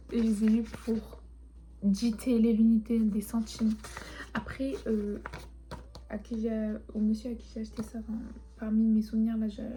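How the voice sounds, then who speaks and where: A young woman who grew up speaking Turkish, chatting casually and rambling softly, often half-whispering, as she shows her souvenirs. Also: a little high, rough recording. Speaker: vlogger. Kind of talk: casual chat showing souvenirs.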